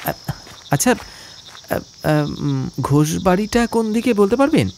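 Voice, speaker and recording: Uneasy young male traveller acted by a man, raised pitch, halting and stammering, horror audiobook, cricket-like night ambience behind. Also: big pitch swings, a little mellow, slight background noise, exaggerated voice. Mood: nervous, hesitant. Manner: halting, stammering, polite questions. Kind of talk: audio horror story acting.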